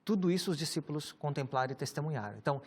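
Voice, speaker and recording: A man with a Brazilian Portuguese accent, a pastor teaching about the Bible in a measured, explanatory way, often ending phrases with a questioning tag. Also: on the high side, animated, darkish tone, slightly rough. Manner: measured, explanatory, with many rhetorical tags.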